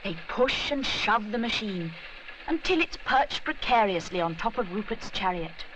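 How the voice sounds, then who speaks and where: A woman narrating a scripted children's story in an expressive, measured storytelling manner. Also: mid-range voice, slight background noise, low-quality recording.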